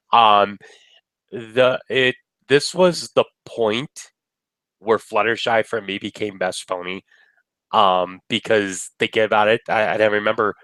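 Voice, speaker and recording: A man's voice: on the low side, a bit bright, no room sound, poor audio. A man with a cold and a nasal voice, co-hosting a casual review chat over a webcam in a laid-back, wry manner. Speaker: co-host of a review video. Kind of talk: casual banter. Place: indoor room.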